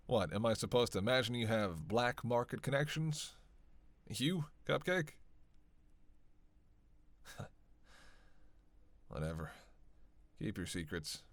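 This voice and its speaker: A man acts out a sardonic, dangerous male vampire in an audio roleplay. His speech is unhurried and swings widely in pitch, full of playful sarcasm and weary teasing. The sound is close and dry. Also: expressive, no room sound. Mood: teasing, curious. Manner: sarcastic, playful, drawling with sighs and groans. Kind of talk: roleplay audio drama acting.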